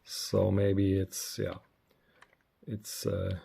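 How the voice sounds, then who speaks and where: A man giving a casual, unscripted product review, speaking haltingly with frequent pauses. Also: deep voice, monotone, gravelly, mellow, husky. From Germany. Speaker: radio reviewer.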